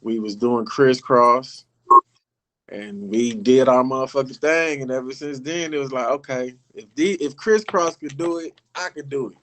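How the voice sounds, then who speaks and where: A man's voice: mid-range voice, normal speed, rough recording. A middle-aged man from Illinois, a guest rapper telling his story in a casual, profane, rambling way on a podcast. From Illinois, North Chicago area.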